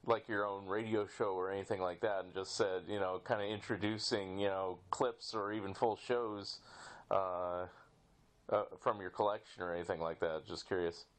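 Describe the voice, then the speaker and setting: A man hosting a podcast interview in casual chat, with halting, rambling questions. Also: deep voice, fairly even intonation.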